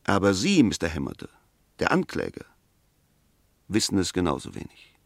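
A defence lawyer in a sixties radio drama, with a fairly low voice in a dry studio sound. He is articulate and formal, building each point methodically with rhetorical emphasis. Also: huge pitch swings, moderate pace, creaky, no echo, heavily acted. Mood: grave, emphatic. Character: measured, incisive male defence lawyer arguing a murder case. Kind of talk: 1960s German radio play acting.

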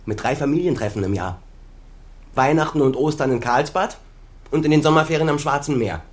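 A man's voice: on the high side, fairly quick, lightly acted. A man acting in a naturalistic radio drama as a bitter adult son who cuts at his estranged father with reproach. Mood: bitter, accusing. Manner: accusing, reproachful, cutting.

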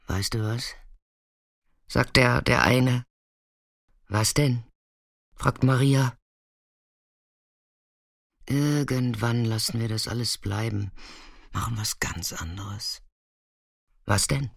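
A weathered, fearless soul confiding in a radio play. The voice is gravelly and takes its time, with an understated, intimate manner. The sound is very dry. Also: male voice, medium pitch, slow pace, pauses often, a bit bright, no room sound, full character voice. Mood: quiet, reflective.

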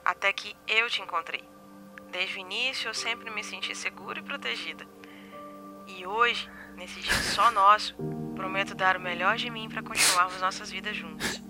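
A woman's voice: mid-range voice, steady tone, normal speed, close to an everyday voice. A woman playing a devoted young bride who recites her vows sincerely in an audio drama. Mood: tender. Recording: music clearly under the voice.

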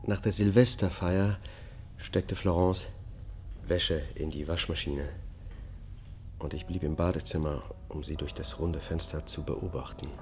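An actor playing a weary accused man in a radio drama, confessing in a halting, controlled way. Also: sits low, fairly even intonation, darkish tone, faint music behind. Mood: pained confession. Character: male accused family killer, a weary confessing double-life husband. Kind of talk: radio drama acting, first-person testimony.

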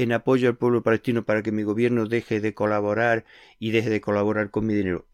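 A man's voice: fairly low pitch, a little mellow, no echo, studio quality. A man hosting a radio interview, explaining things earnestly with concrete examples. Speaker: radio host.

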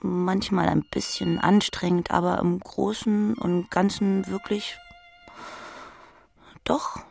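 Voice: medium pitch, level tone, slow, gravelly, a little mellow, soft background music. A narrator reading literary prose in the second person, speaking intimately to the listener.

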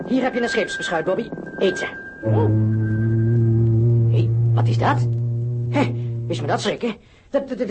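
Boy adventurer, high and wildly animated, excitable, stammering with surprise, radio drama. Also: rough recording. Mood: busy, chatty. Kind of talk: radio play acting. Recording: loud ambient effects noise throughout.

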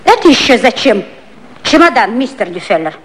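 A woman acting an impudent, sharp-tongued secretary with a mocking, brisk manner in a 1950s satirical radio play. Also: on the high side, very animated, low-quality recording.

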